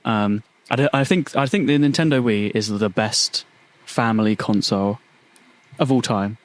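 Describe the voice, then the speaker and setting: A young man from the UK co-hosting a podcast, talking in eager, slangy casual chat. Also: on the low side. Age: young adult. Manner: enthusiastic, slangy, quick to laugh. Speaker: podcast co-host.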